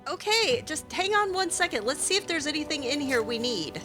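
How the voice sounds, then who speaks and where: A woman's voice: sits high, very animated, a little fast. A woman playing a video game in character, chatting along with plenty of fillers and restarts. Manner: chatty, hesitant, lots of fillers. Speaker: let's play gamer. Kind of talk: in-character game roleplay commentary. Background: faint game music.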